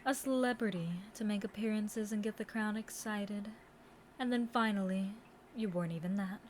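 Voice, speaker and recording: A woman's voice: mid-range voice, fairly even intonation, normal speed, darkish tone, a little hiss or hum, natural voice. A woman playing a seductive, condescending immortal Demon Queen in a theatrical, taunting audio roleplay monologue. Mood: condescending, mock pity.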